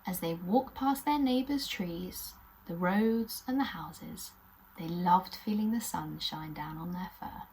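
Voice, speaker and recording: A woman's voice: on the low side, slow, darkish tone, poor audio. A British woman presenting and narrating a children's story in a friendly, encouraging way. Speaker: children's puppet show host and storyteller.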